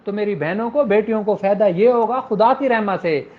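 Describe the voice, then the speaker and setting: A man's voice: sits high, fairly quick. A middle-aged man with a Pakistani accent giving an informal, explanatory law lecture in a conversational, familiar way. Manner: conversational, addresses listeners as his children and siblings. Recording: dull lo-fi audio.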